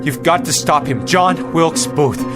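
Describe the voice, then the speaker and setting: Actor as intense, anguished man, higher-pitched. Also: unhurried, natural voice. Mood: urgent, pleading. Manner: urgent, pleading, intense. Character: anguished, earnest time-traveling gentleman, desperate to be believed. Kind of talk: radio drama acting. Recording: dramatic music underneath.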